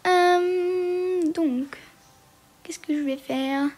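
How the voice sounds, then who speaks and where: A woman's voice: extremely high pitch, very slow, darkish tone. A woman giving casual, hesitant commentary as she walks through a game, with lots of fillers. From Portugal. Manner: hesitant, many fillers, chatty. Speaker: gaming video creator.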